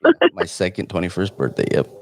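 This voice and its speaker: A man in his forties from San Jose, California, hosting a live phone interview in a relaxed, meandering way. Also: low voice, fast talker, no room sound, clean studio sound. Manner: laid-back, meandering. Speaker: host.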